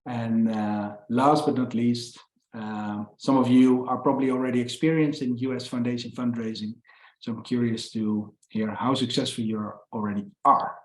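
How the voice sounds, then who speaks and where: A man's voice: medium pitch, fairly even intonation, normal speed. A man presents a webinar over a video call, stopping often on "uh" and repeating words. His voice sits in a mid register and carries an echo from the room he speaks in. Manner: hesitant, many repeated fillers. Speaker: webinar host, founder of a consultancy.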